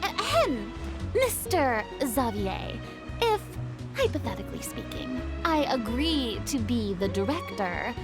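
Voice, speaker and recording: A vain, theatrical young star. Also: female voice, sits high, very animated, slow pace, full character voice. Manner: haughty, dramatic, self-important. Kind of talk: game voice acting. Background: game music.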